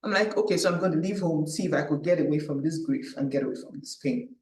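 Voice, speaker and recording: A woman, from Nigeria, giving an animated personal talk at a public event. She tells stories by acting out her own thoughts and often tags her sentences with "right?". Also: low voice, fast talker. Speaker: panel speaker. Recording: echoey room, distant mic.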